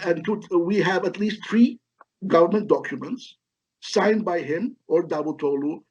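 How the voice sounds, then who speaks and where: A middle-aged man from Lebanon giving halting, explanatory answers as an academic expert in an interview. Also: on the high side, mellow, breathy edge. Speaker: history professor, interview guest. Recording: dull, lo-fi call audio.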